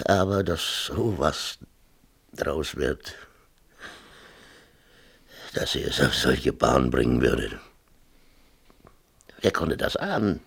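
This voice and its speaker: An actor playing a defensive, self-pitying middle-aged small-town mayor and father in a radio play, talking in a halting, colloquial way and repeating himself. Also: extremely low pitch, expressive, vocal fry, breathy edge, heavily acted. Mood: resigned. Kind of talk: 1970s German radio play acting.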